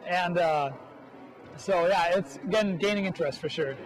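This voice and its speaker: A man's voice: high-pitched, moderate pace, rough recording. A young man, a student club organizer, answering an interview hesitantly with many fillers.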